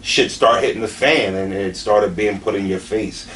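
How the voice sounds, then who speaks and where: Low-voiced young Philadelphia man, distant mic. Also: normal speed, a bit bright. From Southeastern Pennsylvania, USA. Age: late 20s. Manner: emphatic, repetitive, peppered with slang and swearing. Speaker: battle rapper being interviewed. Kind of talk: candid conversational interview. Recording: distant mic with faint background noise.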